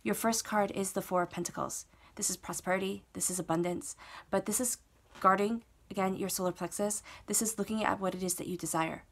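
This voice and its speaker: Stuffed-up woman giving a steady tarot reading. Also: mid-range voice, fairly quick, few pauses. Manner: steady, reassuring, repeats phrases and checks in with "okay?". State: stuffed up from a cold. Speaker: tarot reader. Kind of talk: solo tarot reading to camera.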